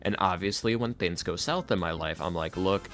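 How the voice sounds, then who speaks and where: A man's voice: sits low, normal speed, a bit bright. A man talking casually as a podcast guest, emphatic and rambling, with slangy phrasing and acted-out quotes. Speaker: podcast guest, ASMR roleplay creator. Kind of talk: casual, opinionated interview talk.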